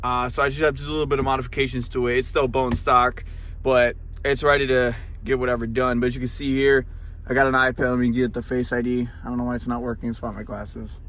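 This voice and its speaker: Guy vlogging in his car, bright voice, rambly n informal, stutters a bit, little background noise. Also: mid-range voice, flat delivery, fast talker. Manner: stuttering, repeats words. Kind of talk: casual chat to camera. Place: inside a car. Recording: noisy vehicle interior.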